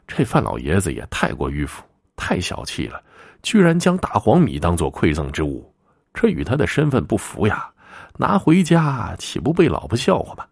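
A man's voice: low-pitched, huge pitch swings, normal speed, creaky, darkish tone, breathy edge, heavily acted. A man playing a polite, earnest younger traveler in a folk tale, deferential and eager to oblige. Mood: disdainful.

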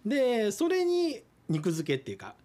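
A man speaking as a listener guest in a casual radio chat, polite and earnest, pausing on fillers. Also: high-pitched, huge pitch swings, darkish tone.

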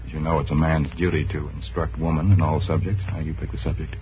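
An actor playing a well-bred, romantic and earnest young gentleman in a 1940s radio drama, with a soft, poetic manner. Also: rumbling low, level tone, fast talker. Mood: tender, playful. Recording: narrow, noisy 1940s radio broadcast.

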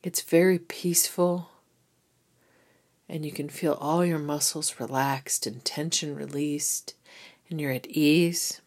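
A woman gently leading a guided meditation with soothing, unhurried instructions. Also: low-pitched, fairly even intonation. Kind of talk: guided body scan meditation.

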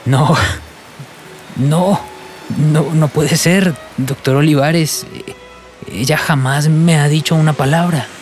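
A sincere, ambitious young Colombian man with a slightly high voice. He talks respectfully, in radio soap style, with faint music behind him. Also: steady tone, slow, long pauses, a little mellow. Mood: disbelieving. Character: polite, earnest, ambitious young male student waiter. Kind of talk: radio soap opera acting.

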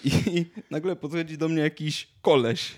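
Halting young man chatting casually. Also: a little high, fairly even intonation. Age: 20s. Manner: halting, repeats words and restarts often. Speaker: podcast co-host. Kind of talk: casual chat, anecdotes.

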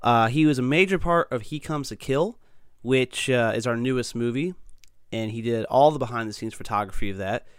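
A man hosting a casual podcast interview, chatty and friendly with a slightly raspy, tired voice. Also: medium pitch, moderate pace, no room sound, studio quality. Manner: friendly, chatty, laughs often. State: slightly raspy, losing his voice. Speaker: podcast host.

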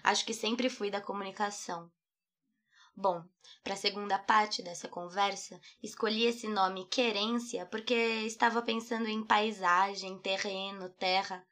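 A woman's voice: medium pitch, steady tone, a little slow, fairly bright tone. A young Brazilian woman, an artist and poet, narrating her own thoughts in a reflective, playful, poetic way. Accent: Brazilian Portuguese. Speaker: podcast host, artist and poet. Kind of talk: scripted personal narration.

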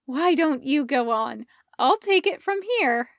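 A woman's voice: high voice, expressive, slow pace, no room sound, studio quality, pitched above the performer's own voice, full character voice. A woman voicing a breezy, mischievous woman with a chirpy, dismissive manner in a story reading. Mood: breezy, cheerful. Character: bright, breezy, mischievous woman, pleased schemer.

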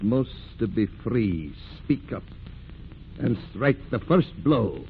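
A man acting a commanding, deliberate ship's skipper with authoritative, dramatic delivery in a 1940s radio drama. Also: mid-range voice, big pitch swings. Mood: grave, rousing. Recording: muffled, noisy vintage radio drama recording.

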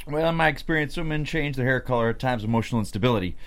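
A man's voice: medium pitch, very fast, lightly acted. An actor at a table read playing a teasing, self-assured male wedding guest. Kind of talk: scripted table read acting.

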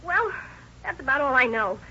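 A woman's voice: sits high, very animated, normal speed, bright, forceful tone, exaggerated voice. An actress playing an anxious, reluctant woman informant in a 1930s radio crime drama, pleading and agitated. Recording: dull, hissy old radio recording.

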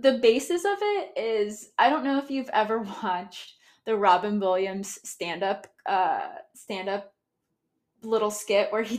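A woman with an American accent hosting a history podcast, explaining casually, correcting herself and often laughing. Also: medium pitch. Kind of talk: casual educational talk.

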